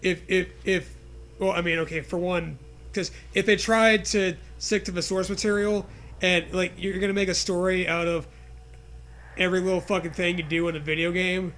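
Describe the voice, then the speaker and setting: High-voiced sarcastic man riffing casually. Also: level tone, moderate pace, fairly bright tone. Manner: sarcastic, mock-dramatic, crude-joking. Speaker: co-host reacting to a read story.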